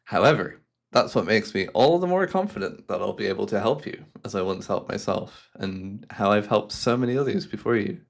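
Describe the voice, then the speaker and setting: A man's voice: fairly low pitch, expressive, creaky. A man playing a courteous, devious hypnotist who speaks in a formal, soothing, theatrical way, as in an ASMR roleplay. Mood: reassuring. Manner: suave, formal, unhurried, theatrical. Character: devious, courteous male hypnotist guiding a client.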